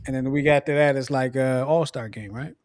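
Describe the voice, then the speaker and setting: A man's voice: medium pitch, steady tone, fairly quick, dry recording, studio quality. A man hosting a casual sports podcast, laid-back and teasing, slightly losing his voice. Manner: laid-back, teasing, repeats sign-off phrases. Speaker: podcast host.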